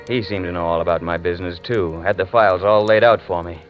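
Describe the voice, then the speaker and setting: Weary noir lead, male actor, lowish pitch, stubborn and slangy, old radio play with music behind. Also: fairly even intonation, fast, highs cut off, close to an everyday voice. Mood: wry, weary narration. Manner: slangy, wry, terse. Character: world-weary hard-boiled male investigator in love, stubborn. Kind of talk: 1940s radio drama acting, first-person narration.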